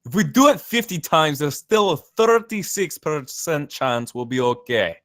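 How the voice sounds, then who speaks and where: A man's voice: on the high side, lively intonation, measured pace, fairly bright tone, dry recording, studio quality. A man playing a surly, demanding spaceship boss at a screenplay table read. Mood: exasperated.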